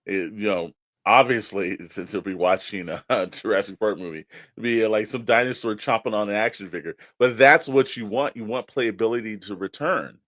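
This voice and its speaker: A man with a fairly low voice talks as a podcast co-host. He rambles through his opinions in a loose, colloquial style, and the sound is close and dry. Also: normal speed, fairly bright tone, no room sound. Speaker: podcast co-host discussing collectible toys. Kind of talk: casual unscripted opinion chat.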